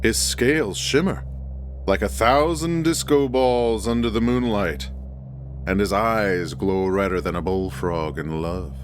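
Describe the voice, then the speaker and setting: A man's voice: lowish voice, a little slow, a little hiss or hum, natural voice. Man playing a theatrical, mock-serious joker. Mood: mock dramatic. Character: joking male friend reading tall tales with mock drama. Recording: music bed under the voice.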